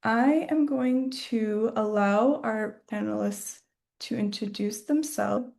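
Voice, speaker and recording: Woman panel moderator, friendly, with a gravelly voice. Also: on the high side, slow pace, darkish tone. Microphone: video call.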